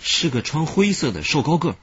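A patient police officer in a radio play, quick and animated as he encourages a witness. The sound is a bit dull. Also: fairly high pitch, husky, full character voice. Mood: patient, attentive. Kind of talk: radio drama acting. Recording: dull radio drama track, faint noise.

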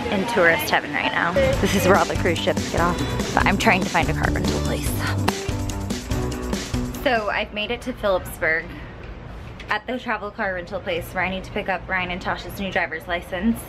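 A woman's voice: lowish voice, steady tone, moderate pace, long pauses. A woman in her thirties talking casually to a handheld camera as a vlog host. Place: outdoors in town, in a car, on a boat. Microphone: handheld camera close by. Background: music.

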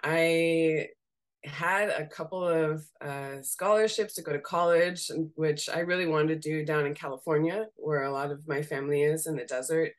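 A young woman from Seattle with a slightly raspy voice, chatting casually as a guest on a video call and laughing often as she talks. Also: sits low, normal speed. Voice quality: raspy voice today. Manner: laughs often while talking, relaxed and candid. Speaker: guest, graphic designer. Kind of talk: casual interview chat. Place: home room.

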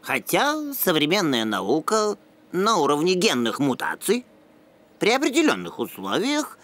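A man's voice: fairly high pitch, huge pitch swings, unhurried, a bit bright, full character voice. A man voicing a pedantic, bookish teenage boy dog in a children's cartoon, lecturing in precise, superior phrases. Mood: pedantic, absorbed.

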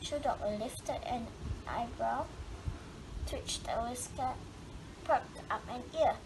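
A woman's voice: on the high side, slow pace. A woman reading a children's picture book aloud expressively, drawing out words for dramatic emphasis. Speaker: storybook reader. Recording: clearly noisy home recording.

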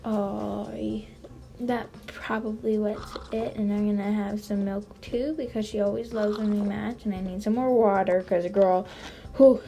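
A woman improvising a role-play story as a mother character, chatty and play-acting, sometimes hushed. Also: medium pitch, fairly even intonation, unhurried. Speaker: role-play video narrator. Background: background music.

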